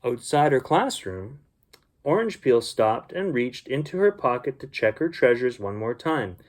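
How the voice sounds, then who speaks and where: A man hosting a children's bedtime story, reading aloud and chatting casually to young listeners in a gentle, homely way. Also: medium pitch, very animated, a little slow.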